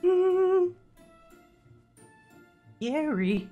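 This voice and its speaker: A woman plays a tiny living banana, innocent and excitable, with a very high childlike voice. The game-roleplay lines come slow and simple, with clear music behind. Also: narrow, tinny audio, heavily acted. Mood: innocent, hesitant. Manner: childlike, short simple answers.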